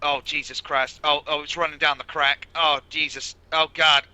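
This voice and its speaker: A man's voice: on the high side, level tone, normal speed, pushed and bright, slight background noise. A man improvising as a crude, queasy security guard in online roleplay, groaning and complaining in vulgar terms. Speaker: player voicing a security guard.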